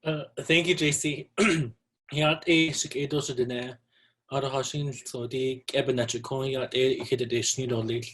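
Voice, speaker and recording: A man from the Navajo Nation gives a presentation at a virtual symposium, speaking in a steady, measured way. He pauses with ums and clears his throat now and then. His voice sits at an ordinary middle pitch. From Navajo Nation, US Southwest. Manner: measured, opens with a greeting in his own language. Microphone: video call.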